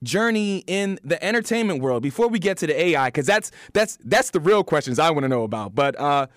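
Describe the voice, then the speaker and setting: Male podcast host, high pitch, halting stammered phrasing, interview style. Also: big pitch swings, no echo, studio quality. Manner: halting, with frequent stammered repetitions.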